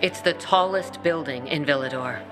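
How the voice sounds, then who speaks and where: An actor playing a tough peacekeeper commander who speaks in a gruff, curt way. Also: mid-range voice, normal speed, music in the background, lightly acted.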